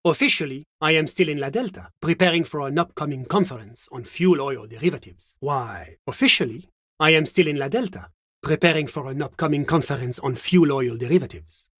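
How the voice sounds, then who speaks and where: A suave French government functionary from a video game. His voice sits a little high for a male and is formal, measured and courteous. He sounds diplomatic and evasive, in a very dry recording with no room sound. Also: fairly bright tone, dry recording. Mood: smooth, evasive. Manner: formal, polite, measured, officious. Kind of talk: scripted game character dialogue.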